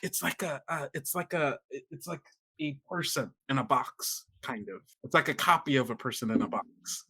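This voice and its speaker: A man playing an excitable, curious kid who stammers and repeats words in a flustered way, in a tabletop game. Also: mid-range voice, breathy edge. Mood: puzzled, curious. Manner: halting, repetitive, flustered stammering.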